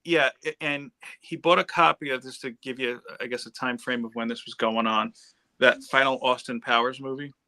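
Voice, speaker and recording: A man's voice: medium pitch, steady tone, normal speed, bright, forceful tone, dry recording. A man chatting casually as a panelist on a group livestream, rambling and wandering off into tangents. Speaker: panelist on a comics discussion stream. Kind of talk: casual chat.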